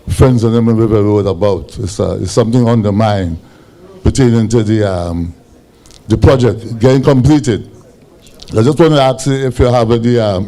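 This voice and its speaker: A man with a Grenadian accent and a big voice, asking questions at a town hall in a halting, comradely way. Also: mid-range voice, mellow. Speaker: audience questioner.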